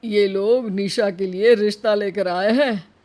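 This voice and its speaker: A woman's voice: medium pitch, steady tone, measured pace, a little mellow, close to an everyday voice. A woman voicing a caring elderly father-in-law in a moral story, fatherly and persuasive. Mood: delighted. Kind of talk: animated moral-story acting.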